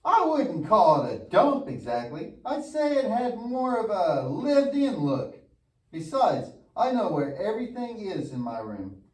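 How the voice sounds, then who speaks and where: A man's voice: high voice, very animated, measured pace, a little mellow. A man playing a goofy, lazy, mischievous young boy puppet in a church show, with an exaggerated, wisecracking delivery. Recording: echoey room, distant mic.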